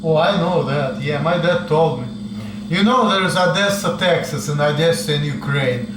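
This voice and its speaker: A man's voice: on the high side, moderate pace. A young man with a strong Russian accent, chatting casually and profanely with many fillers in a home kitchen. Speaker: video host. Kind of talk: casual chat. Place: apartment kitchen. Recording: echoey kitchen, far mic, noisy.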